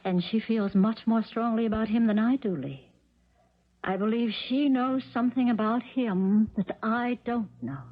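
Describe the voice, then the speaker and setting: An American woman playing an uneasy, wounded stepmother in a 1970s radio drama, speaking in a halting, earnest way. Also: on the high side, close to an everyday voice. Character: uneasy, hurt stepmother with eerie intuition. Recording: muffled 1970s radio recording.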